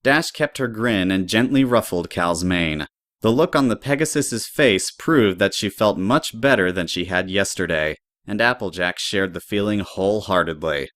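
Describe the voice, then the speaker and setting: A man's voice: low-pitched, moderate pace, fairly bright tone, dry recording, studio quality. A man reading scripted story narration as the narrator of an audio drama.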